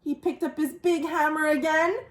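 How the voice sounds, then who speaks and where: A woman reading a picture book aloud for young children as a storytime host, animated, with questions to listeners and dramatic pauses. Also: high voice. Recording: roomy, echoing indoor sound.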